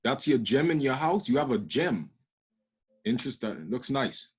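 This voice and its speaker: A man's voice: mid-range voice, very animated, normal speed, slightly rough. A man from the Bahamas with a Bahamian accent, chatting casually as a guest and laughing often. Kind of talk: casual video call chat.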